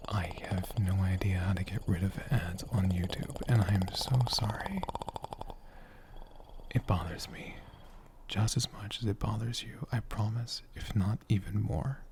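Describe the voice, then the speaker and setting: A man speaking softly and gently in an ASMR style, breathy and often whispering, rambling unhurriedly as he answers questions off the cuff. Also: fairly low pitch, background noise. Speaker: ASMR creator and channel host. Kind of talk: soft-spoken ASMR, unscripted question-and-answer.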